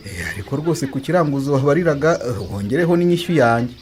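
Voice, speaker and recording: An actor as very fast-talking Rwandan village leader. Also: male voice, mid-range voice, animated, a little mellow, lightly acted. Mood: insistent. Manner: insistent, explanatory. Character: persistent village leader politely collecting an unpaid debt. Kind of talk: radio drama acting.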